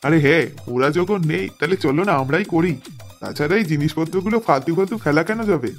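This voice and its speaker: A man voicing an excitable, easily swayed village father in a comic cartoon, speaking in exclamations and questions. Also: fairly high pitch, fast talker, close to an everyday voice. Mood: coaxing. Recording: music clearly behind the voice.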